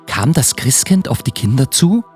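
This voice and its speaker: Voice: mid-range voice, sing-song, moderate pace, darkish tone, soft background music. A narrator reading scripted storybook narration for a Christmas radio play.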